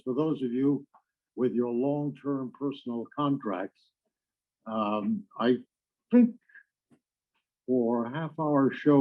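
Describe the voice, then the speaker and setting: An older man, a veteran radio actor, telling anecdotes in a halting way with long pauses. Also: mid-range voice. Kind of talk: reminiscing, anecdotal talk. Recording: muffled, narrow phone-like archive recording.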